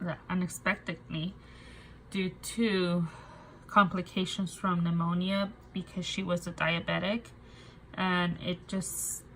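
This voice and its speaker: A woman's voice: on the low side, slight background noise, rough recording. A young woman with a cold and a nasal voice, talking casually to camera and often stopping to restart her sentences with fillers. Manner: halting, soft, with many fillers and restarts. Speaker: budgeting vlogger. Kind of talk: casual personal talk to camera.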